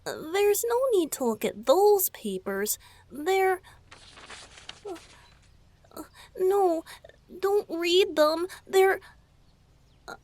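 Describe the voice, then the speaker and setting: A woman voicing a shy, flustered boyish lover in an intimate ASMR romantic roleplay, speaking softly and breathily with hesitant, halting phrasing. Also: very high voice, animated, full character voice. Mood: flustered, panicked. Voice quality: soft, breathy, often whispered.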